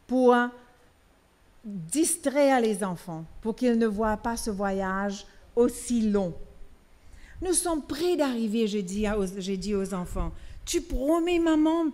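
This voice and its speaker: A middle-aged woman reads a sermon aloud in church. She speaks slowly and with care, in a somewhat high voice. She often hesitates and goes back to restart a word. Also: darkish tone. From Mauritius. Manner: slow careful reading with hesitations and restarts. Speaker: preacher.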